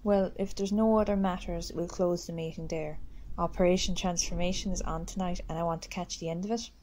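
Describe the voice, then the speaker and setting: A woman with an Irish accent playing a brisk, matter-of-fact parish committee chair in an amateur radio drama. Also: fairly low pitch, steady tone, a little mellow, slight background noise, close to an everyday voice. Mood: businesslike.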